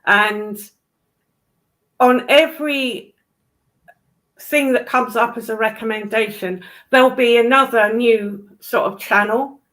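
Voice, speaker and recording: A woman talks in a casual discussion, explaining things point by point. Her voice sits a little high and her pace is unhurried and slow. She is emphatic, restating key phrases for stress. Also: fairly bright tone, poor audio.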